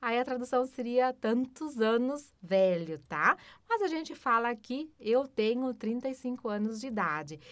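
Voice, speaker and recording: A woman with a Brazilian Portuguese accent teaching a German lesson. She explains patiently, often adds tag questions and slows down for the German examples. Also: on the high side, fairly bright tone, dry recording. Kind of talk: language lesson.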